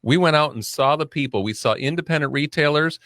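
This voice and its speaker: A man's voice: on the high side, fairly quick, a bit bright, dry recording. Dry-voiced man telling a business story. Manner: storytelling, wry asides. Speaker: vodka brand founder, podcast guest. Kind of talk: casual podcast chat.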